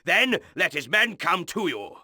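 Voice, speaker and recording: An actor playing a stern noble military ally, giving earnest, formal orders with authority in a video game briefing. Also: male voice, high-pitched, very animated, measured pace, pushed and bright, husky, no room sound, clean studio sound, full character voice. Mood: cautioning. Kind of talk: video game mission briefing, dramatic acting.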